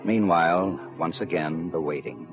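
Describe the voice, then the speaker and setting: An actor playing a world-weary, tough railroad detective in a 1950s radio drama. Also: male voice, low voice, normal speed, close to an everyday voice. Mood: terse, suspenseful. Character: world-weary, tough but reassuring railroad police detective, narrating his case. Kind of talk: 1950s radio drama acting, hard-boiled narration. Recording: muffled 1950s radio recording, music behind.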